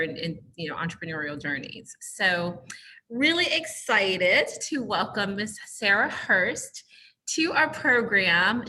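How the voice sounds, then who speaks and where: A woman hosting a library entrepreneur talk, enthusiastic and quick to laugh. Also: medium pitch, bright, forceful tone, slight background noise, rough recording. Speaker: program host. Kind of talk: hosting an informal conversation.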